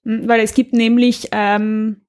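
Higher-pitched Austrian woman chatting. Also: level tone, slow pace, a little mellow. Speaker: podcast co-host. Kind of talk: casual chat, recommending audio dramas.

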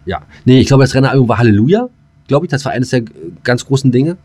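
A man's voice: medium pitch, expressive, fast, a little mellow, husky. Rambling, joking male podcast host. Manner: joking, mock-formal, rambling. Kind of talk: casual banter.

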